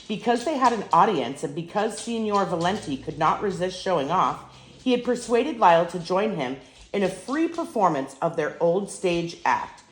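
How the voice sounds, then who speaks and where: An older woman reads a picture book to children with animated, emphatic expression. Her voice sits a little low and is heard in a roomy, echoing space. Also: moderate pace, slight background noise. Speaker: grandmother reading stories. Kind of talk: storybook read-aloud for children.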